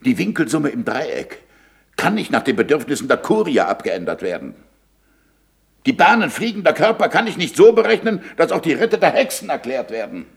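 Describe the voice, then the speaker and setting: A man's voice: on the high side, sing-song, normal speed, creaky. A man acting an impassioned, sarcastic middle-aged scientist in a German radio play, swinging between declamation and muttering. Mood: indignant, impassioned.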